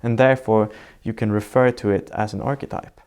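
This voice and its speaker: A man with a Swedish accent, a Swedish native speaker, answering interview questions as an architect in a measured, reflective way, laying out ideas step by step. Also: fairly low pitch, fairly even intonation, darkish tone, clear, resonant tone. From Sweden. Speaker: architect guest. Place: sitting indoors in Norway.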